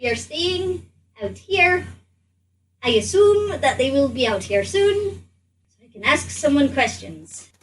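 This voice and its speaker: A woman voicing a bewildered but polite adventurer in a tabletop game, speaking in a halting, stammering way. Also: sits high, very animated, exaggerated voice. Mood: hesitant. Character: bewildered, polite traveled adventurer in a strange body. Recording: distant mic, background noise.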